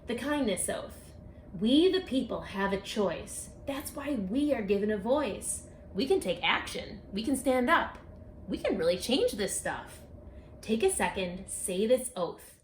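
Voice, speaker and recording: A woman reading a rhyming picture book aloud for children, speaking expressively and deliberately, straight to her young listeners. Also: fairly high pitch, sing-song. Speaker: children's book author and read-aloud presenter. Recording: echoey room with faint background noise.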